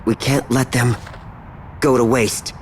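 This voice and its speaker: An actor playing a determined young hero in a video game, speaking with earnest, insistent urgency. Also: male voice, medium pitch, steady tone, takes it slowly, slight background noise, natural voice. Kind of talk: dramatic video game voice acting.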